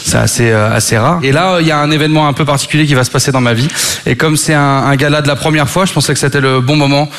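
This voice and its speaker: Fast-talking man joking on stage. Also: on the high side, fairly bright tone. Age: 30s. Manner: teasing, quick banter with laughs. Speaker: stand-up comedian. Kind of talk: stand-up comedy sketch on stage. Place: live show before an audience.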